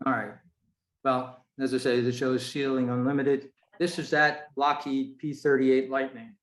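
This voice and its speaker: A man's voice: mid-range voice, little pitch movement, moderate pace. Echoey-sounding man giving a club talk. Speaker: club presenter. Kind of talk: prepared talk read to a club meeting. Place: indoors, on a video call. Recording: roomy, echoey video call audio.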